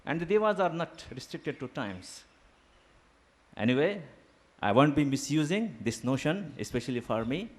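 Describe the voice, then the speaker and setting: A man whose first language is Urdu, giving a formal seminar lecture in a deliberate academic manner, pausing with fillers. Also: on the high side, sing-song. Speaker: guest lecturer. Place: seminar hall.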